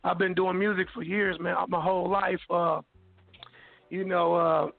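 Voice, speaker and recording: A hoarse man talks slangy and relaxed, his voice pitched high with a gravelly, raspy edge. He comes through a dry, tinny phone line. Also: a little slow, dry recording, soft background music. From Kansas City, Missouri, USA. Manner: laid-back, slangy, laughs often. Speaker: guest rapper. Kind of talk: casual interview chat.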